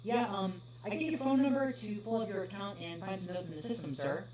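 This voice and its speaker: A woman's voice: mid-range voice, brisk, crowd noise, pitched above the performer's own voice, close to an everyday voice. A woman voicing a polite male pony call-center agent with an apologetic customer-service tone. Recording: echoey room with noisy hum.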